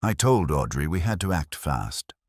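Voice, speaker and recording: A man's voice: extremely low pitch, very animated, moderate pace, no echo, studio quality, exaggerated voice. A man voicing a flustered, helpless male town councillor who splutters defensively.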